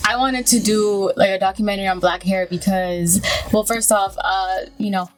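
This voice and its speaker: A woman's voice: mid-range voice, steady tone, normal speed. A young woman from Somalia talking casually as a guest, with informal check-in tags. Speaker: podcast guest, documentary maker. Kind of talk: casual conversation.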